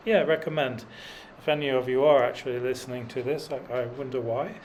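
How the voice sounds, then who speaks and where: A man's voice: medium pitch, fairly even intonation, brisk, slight background noise. A middle-aged man talking in a dry, self-deprecating way with many fillers while cycling up a mountain road. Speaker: cycling vlogger. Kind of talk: rambling self-deprecating commentary while riding.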